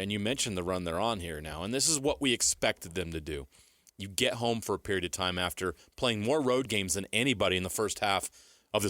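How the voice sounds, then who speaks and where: A man's voice: on the low side, bright, forceful tone, no room sound, clean studio sound. A man co-hosting a sports radio show, talking through analysis of a team.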